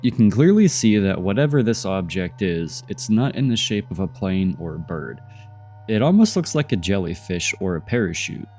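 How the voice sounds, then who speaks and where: A man with a very low voice and a stuffy, congested sound narrates clips in a skeptical voice-over. He keeps asking pointed questions about what the footage shows. Soft music plays faintly underneath. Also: sing-song, normal speed. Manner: skeptical, poses rhetorical questions. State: congested, nasal from a cold. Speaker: channel host narrating UFO footage. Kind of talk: voice-over commentary on video clips.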